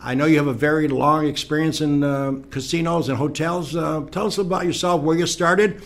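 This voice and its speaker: A man's voice: a little high, fairly even intonation, fairly quick, fairly bright tone. A middle-aged man hosting a local talk show, chatty and rambling, with many fillers.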